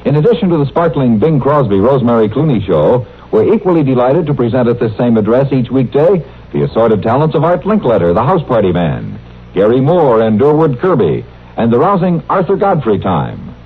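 A man's voice: medium pitch, sing-song, fairly quick, slightly rough. An American man announcing on old network radio, reading scripted copy in a polished, promotional manner. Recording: dull old radio broadcast recording.

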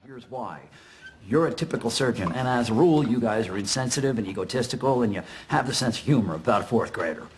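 An actor playing a sarcastic, domineering senior doctor in a sitcom, delivering mocking monologues with biting, theatrical scorn and mock sweetness. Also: male voice, mid-range voice, expressive, fast talker, close to an everyday voice. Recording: faint background noise.

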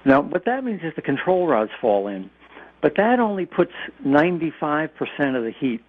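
A man's voice: on the high side, big pitch swings, normal speed. An American man speaking as an expert guest in a radio interview, explaining things informally with stammering, hesitant starts. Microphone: telephone. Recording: tinny band-limited phone line.

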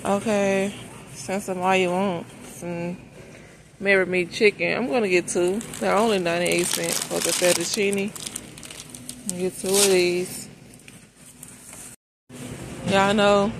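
A woman's voice: on the low side, flat delivery, slow, gravelly, pauses often. A woman chatting casually to the camera in a folksy, informal way, thinking aloud as a vlogger on a shopping trip. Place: inside a large supermarket, walking. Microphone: handheld phone behind the camera. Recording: noisy store background.

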